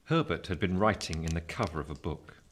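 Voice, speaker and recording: Male actor as gentleman narrator, English accent, deep low voice, earnest, scripted literary storytelling. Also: brisk. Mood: sober recollection. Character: earnest gentleman narrator recalling his own life.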